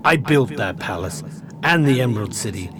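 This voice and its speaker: A man's voice: medium pitch, expressive, normal speed, natural voice. A man playing a kindly old humbug of a wizard in a radio drama, with a formal, wordy, theatrical manner. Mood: wistful. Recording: noisy radio drama recording.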